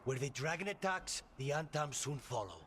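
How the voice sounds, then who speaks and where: An actor playing a grave, courteous assassin in a fantasy video game, speaking solemnly and formally. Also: male voice, a little high, lively intonation, moderate pace, a bit bright, slight background noise. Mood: focused. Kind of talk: video game dialogue acting.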